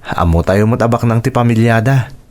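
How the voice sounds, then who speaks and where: A man's voice: medium pitch, brisk, darkish tone, lightly acted. A man playing an earnest, dutiful young son and sweetheart in a radio drama, speaking sincerely.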